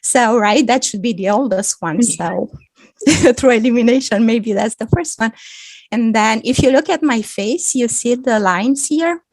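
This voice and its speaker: A woman in her fifties from Romania, speaking with a Romanian accent as a guest on a video-call chat, thoughtful and quick to laugh. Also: fairly high pitch, darkish tone, no echo. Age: 50s. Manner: slow, thoughtful, laughs often. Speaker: guest author. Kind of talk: casual video-call interview.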